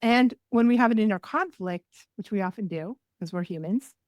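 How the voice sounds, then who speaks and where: Female guest from New England, mid-pitched, dry sound, easy advice-giving tone. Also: normal speed, no echo, lo-fi sound. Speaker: podcast guest, energy healer. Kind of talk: casual interview chat.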